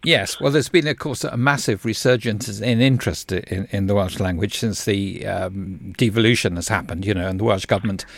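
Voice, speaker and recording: A man's voice: fairly low pitch, normal speed, vocal fry, hardly any pauses, dry recording. A man hosting a radio interview, chatting in a conversational way. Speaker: radio host. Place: radio studio.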